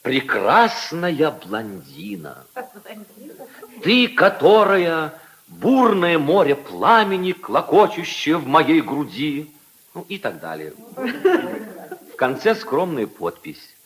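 An actor playing a witty aristocratic husband who entertains guests with theatrical, mock-solemn teasing storytelling in 1950s radio drama style. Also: male voice, a little high, sing-song, slow pace, a bit bright, full character voice, low-quality recording. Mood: theatrical, mock-romantic.

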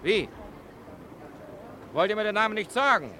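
An actor playing a polite, probing gentleman writer in old London, in a 1950s German radio drama. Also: high-pitched, animated, normal speed, a little hiss or hum. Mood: insistent, polite.

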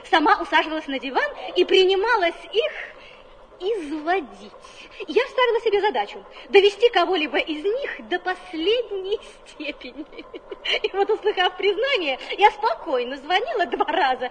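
Very high-voiced, teasing coquette. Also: female voice, a little hiss or hum, low-quality recording. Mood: teasing confession. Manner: playful, deliberate, expressive. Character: coquettish, teasing actress, self-mocking, tender underneath. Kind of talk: theatrical radio drama dialogue.

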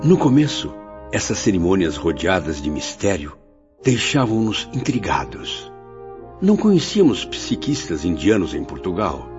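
A reflective spirit narrator played by a man in a radio drama, recalling the past in earnest, literary phrases. The low voice rises and falls expressively over clear background music, in a slightly dull recording. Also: big pitch swings, moderate pace. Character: reminiscing male spirit narrator, former suicide, reflective. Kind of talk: dramatised first-person narration.

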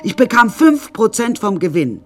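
A woman's voice: medium pitch, sing-song, moderate pace, darkish tone, close to an everyday voice. A vain, self-important woman played by an actress addresses her listeners in a radio play. Her middle-aged voice swoops widely and theatrically, full of deluded grandeur, with some music in the recording. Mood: gushing, boastful. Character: vain, deluded woman artist confined in an asylum.

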